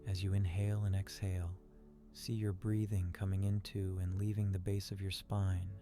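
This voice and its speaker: A man with a deep voice guides a meditation over clearly audible music. His delivery is calm, soothing and unhurried. The intonation stays very level from phrase to phrase. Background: background music.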